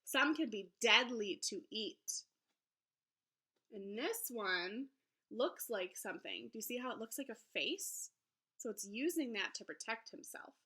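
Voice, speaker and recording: Bright, high-pitched woman reading to children. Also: husky. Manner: expressive, explaining simply to young children. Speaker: elementary school teacher. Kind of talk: children's picture book read-aloud with teaching asides.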